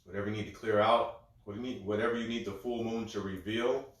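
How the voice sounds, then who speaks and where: Calm, slightly congested man in echoey room. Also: fairly low pitch, fast talker. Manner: calm, gentle step-by-step cueing. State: a little congested. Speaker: breathwork instructor. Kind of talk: guided breathing instruction.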